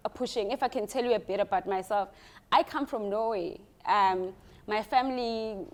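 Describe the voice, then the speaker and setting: A woman's voice: medium pitch, moderate pace. A young South African woman doctor, telling her story in an interview and mixing isiZulu into her English. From South Africa, KwaZulu-Natal. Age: young adult. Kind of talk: casual interview chat.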